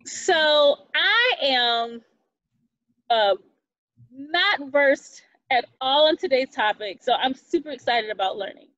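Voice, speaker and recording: A woman's voice: high-pitched, expressive, takes it slowly, fairly bright tone, no echo. A woman hosting an interview, eager and upbeat, asking for clarifications. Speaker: interview host. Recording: dull, dry sound.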